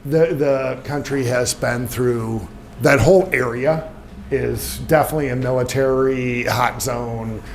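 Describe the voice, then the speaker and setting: A man co-presents in a casual, informal way. His voice is of average pitch. There is faint noise in the background. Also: a little slow, vocal fry.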